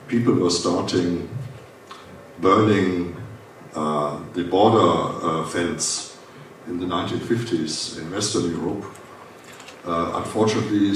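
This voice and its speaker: A German-accented man delivers a conference welcome in a low voice, hesitating and restarting with frequent fillers. He stands away from the mic in a big echoing hall, with a faint hiss underneath. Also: recorded from a distance. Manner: halting, many "uh" fillers and restarts. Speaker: foundation director giving a welcome speech. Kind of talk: formal welcome speech at a conference.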